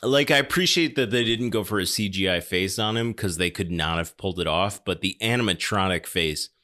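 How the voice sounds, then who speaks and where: A man's voice: sits low, fairly quick, bright, forceful tone. A man hosting a podcast, talking casually about films in rambling, exasperated explanations. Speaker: podcast host. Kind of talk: casual film discussion.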